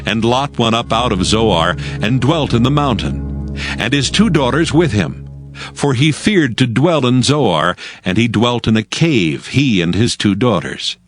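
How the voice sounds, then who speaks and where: A man's voice: mid-range voice, expressive, measured pace. A man narrating scripture in archaic English as a scripted dramatized audio reading. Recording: prominent background music under narration.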